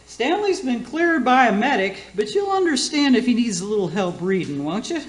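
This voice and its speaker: A woman's voice: on the high side, sing-song, normal speed. Woman acting a theatrical batty older aunt, roomy sound. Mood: cheerful, scatterbrained. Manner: folksy, theatrical, catty asides. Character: batty, boastful older aunt hosting radio cooking show. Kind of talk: comic community-theater radio play.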